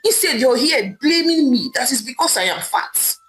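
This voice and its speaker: A woman's voice: sits high, animated, normal speed, a bit bright, faint music behind. A woman voicing a heartbroken young wife who wails and pleads tearfully at her husband. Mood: accusing.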